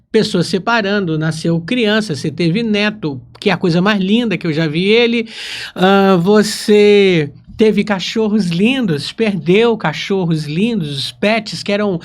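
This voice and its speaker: A man's voice: high voice, measured pace. A man with a Brazilian accent hosting a casual, conversational interview. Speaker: podcast host, interviewer.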